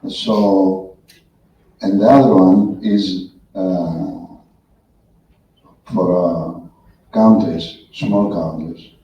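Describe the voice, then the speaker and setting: A man from Greece with a Greek accent, asking a halting question from the audience at a conference, recorded from far off in a hall. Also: sits low, fairly even intonation, dark tone, slight background noise. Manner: halting, many fillers and restarts. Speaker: audience member. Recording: roomy hall, far from the mic, dull.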